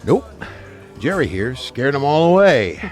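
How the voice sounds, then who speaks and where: A man's voice: medium pitch, sing-song, a little slow, a little mellow, faint music behind. A man playing a skeptical, wisecracking hunter in a radio play, teasing and sociable. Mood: jovial, teasing. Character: wisecracking, skeptical hunting buddy, adult man.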